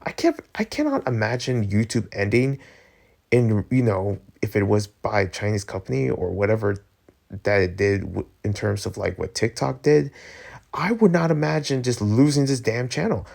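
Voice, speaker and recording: Low-voiced, gravelly man rambling casually. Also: lively intonation, a little mellow. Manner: informal, slangy, halting. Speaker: host of a commentary show. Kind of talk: casual rambling opinion commentary.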